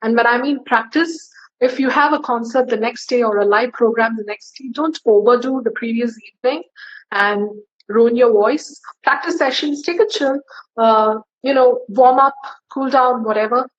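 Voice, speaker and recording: Chatty woman singer on a muffled call. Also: normal speed. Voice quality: low, bass-leaning voice for a woman. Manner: chatty, giving friendly tips with many fillers. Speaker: singer, interview guest. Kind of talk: casual interview chat.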